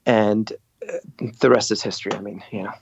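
A man from Lebanon, a guest on a podcast, telling his story in a lively way and acting out what others said. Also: lowish voice, moderate pace, creaky, lo-fi sound, slight background noise. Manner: animated storyteller, acts out quotes. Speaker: guest, singer and producer. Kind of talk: casual interview storytelling.

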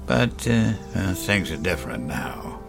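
Elderly grandfather, horror audio drama. Deepish, very slow, wistful musing with sighs, chuckles and quiet menace. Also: big pitch swings, gravelly, full character voice. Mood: folksy, musing. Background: music.

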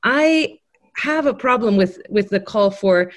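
A woman's voice: medium pitch, expressive, a little slow. A woman from Greece speaking in a political discussion, reflective and careful, qualifying her points as she goes. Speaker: progressive panelist, former congressional candidate.

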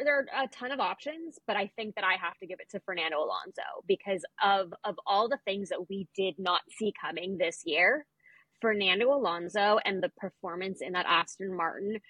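A woman with a bright, forceful voice and a husky edge, recorded close and dry. She gives casual but emphatic, opinionated sports commentary as a podcast co-host. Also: mid-range voice, no room sound, rough recording.

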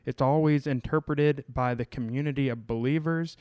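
Smooth-voiced man hosting, halting speech. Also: mid-range voice, steady tone, a little mellow, no echo. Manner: halting, many fillers and restarts. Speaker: podcast host. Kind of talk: casual conversation about scripture.

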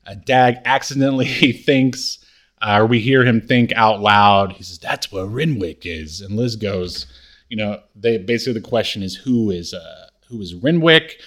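Halting man recapping a TV comedy. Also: lowish voice, fairly bright tone. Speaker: podcast co-host. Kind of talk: casual recap chat about a TV comedy.

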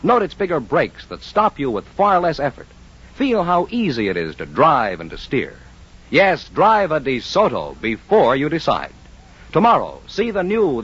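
Male vintage radio announcer, American, lively pitch, slightly husky, polished delivery, muffled old recording. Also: moderate pace.

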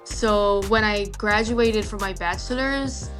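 A woman's voice: medium pitch, slow pace, over music. A young woman from the Netherlands, a native Dutch speaker, chatting easily in an interview and often using "like" and "kind of". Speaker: legal assistant, guest. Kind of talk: casual interview answers.

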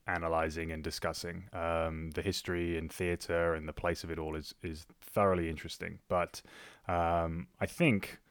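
A man's voice: sits low, little pitch movement, normal speed, clear, resonant tone, dry recording. A man co-hosting a podcast in casual chat, with an informal, rambling way of talking. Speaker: podcast co-host.

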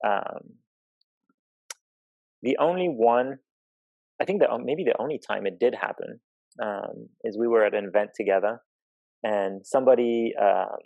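A man from Zimbabwe speaking reflectively in conversation, thoughtful and halting as he searches for words. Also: fairly low pitch, gravelly, darkish tone, no echo, muffled recording. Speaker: interview guest, professional climbing route setter.

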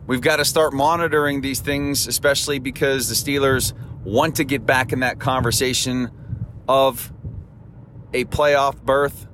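A man hosting a solo sports podcast, talking through upcoming games in an upbeat, chatty way, his voice temporarily husky. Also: mid-range voice, fairly even intonation, unhurried, fairly bright tone, a little hiss or hum. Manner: upbeat, chatty analysis with a cheerful sign-off. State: voice temporarily husky and lower than his norm. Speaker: podcast host.

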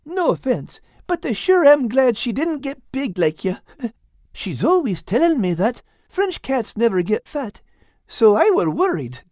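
A narrator voicing a small, excitable male cat from a children's storybook, nervous and stammering, with folksy turns of phrase. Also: medium pitch, unhurried, no echo, clean studio sound, pitched above the performer's own voice. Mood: chatty. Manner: stammering, exclamatory, folksy dialect wording.

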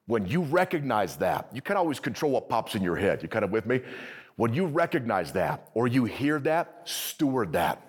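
A man preaching to a live congregation, energetic and conversational, often checking in with the audience. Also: medium pitch, moderate pace, husky. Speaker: pastor. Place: church service with audience.

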